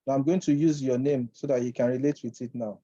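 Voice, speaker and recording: A man from Nigeria teaching Arabic over a video call, patiently repeating phrases slowly for his student to copy. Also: medium pitch, fairly even intonation, dark tone, no echo, lo-fi sound. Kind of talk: online language lesson.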